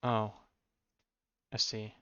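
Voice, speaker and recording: A man's voice: drawn-out words, fairly bright tone, highs cut off. A man livestreaming a game with casual, informal commentary, thinking aloud and reading on-screen text.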